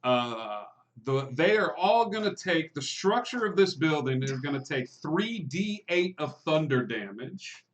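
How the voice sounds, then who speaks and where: A man's voice: a little high, very animated, unhurried, slight background noise. A man running a tabletop game as game master, narrating with frequent hesitations and fillers. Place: online live stream.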